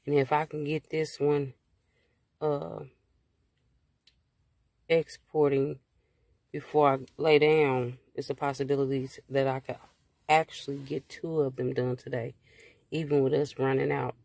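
A woman in her thirties chatting casually to her phone from inside a parked car, rambling and relaxed with many fillers. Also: very deep voice, measured pace, creaky, pauses often, no room sound. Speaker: vlogger. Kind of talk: casual car chat to camera. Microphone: phone held at arm's length.

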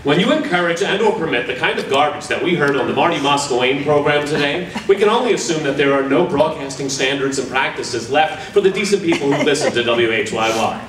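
A man's voice: fairly high pitch, animated, fairly quick, few pauses. Man, middle-aged, mock-solemn reader of letters on a stage, roomy sound, noisy. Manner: mock-serious, theatrical reading. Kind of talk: dramatic reading of letters. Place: stage in a large hall. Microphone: stand mic at a music stand. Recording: echoey hall from far off.

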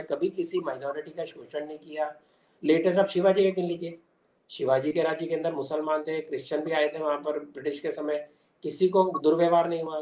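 A man's voice: on the high side, darkish tone. An older man giving emphatic, explanatory answers in a political interview, mixing in English terms. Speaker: interview guest, commentator. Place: small indoor room at home. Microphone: video call device. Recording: echoey room on video call.

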